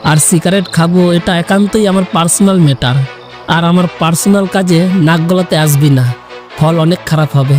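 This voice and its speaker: A man's voice: on the high side, moderate pace, faint music behind, lightly acted. A man playing an irritable, curt young man in an audio story, scolding and exasperated. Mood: angry, controlled. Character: irritable young man pestered by his lovestruck cousin. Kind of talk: Bengali audio story acting.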